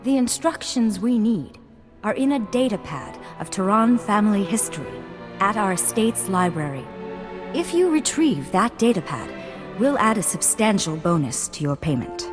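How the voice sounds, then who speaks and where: A woman acting as a proper young lady of a noble house in a video game. Her mid-pitched voice is refined and poised, with music clearly playing behind it. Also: sing-song, normal speed, natural voice. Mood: earnest. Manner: formal, refined.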